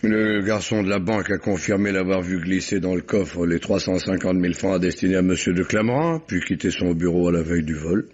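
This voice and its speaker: An actor playing a shrewd, theatrical detective who poses as a provincial family friend in a vintage French radio drama. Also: male voice, low voice, fairly quick, hardly any pauses, darkish tone. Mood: earnest, measured. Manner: theatrical, effusive, exclamatory. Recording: dull old radio recording.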